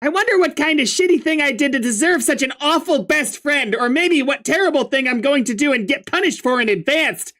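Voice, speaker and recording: A reader puts on a crabby young troll who rants and trades insults. The voice rides high and jumps around a lot. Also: expressive, a little fast, hardly any pauses, bright, forceful tone. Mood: irritated. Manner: ranting, insulting, exasperated. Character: shouty irritable young male troll. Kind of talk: reading a webcomic aloud with voices.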